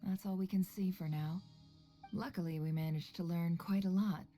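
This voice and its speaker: A woman playing a cool, shrewd female boss in a video game, speaking in a dry, measured, unruffled way. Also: lowish voice, fairly even intonation, mellow, faint music behind, natural voice. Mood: composed. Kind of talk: video game character acting.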